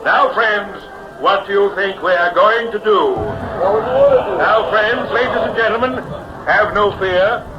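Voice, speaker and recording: A man's voice: sits high, measured pace, heavy background noise. An actor playing a pushy carnival showman hypnotist with theatrical, declamatory patter, in the style of mid-century radio drama. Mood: grand showmanship. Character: showman hypnotist professor, pushy, smooth-talking carnival barker. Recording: dull vintage radio recording, music behind.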